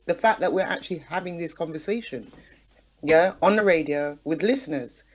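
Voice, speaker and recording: A woman's voice: lowish voice, brisk, a little mellow. A woman speaking in a passionate, conversational radio discussion, emphatic and punctuating her points with rhetorical questions. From Caribbean community in the UK. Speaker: radio talk show guest.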